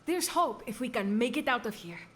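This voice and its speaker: A woman's voice: fairly high pitch, animated, brisk, fairly bright tone. A woman playing a determined, businesslike scientist in a zombie outbreak video game, speaking earnestly. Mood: hopeful.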